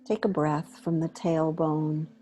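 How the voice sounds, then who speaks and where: A woman leading a guided meditation in a gentle, unhurried way, pausing between short phrases. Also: low voice, steady tone, low-quality recording. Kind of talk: guided meditation, slow soothing instruction.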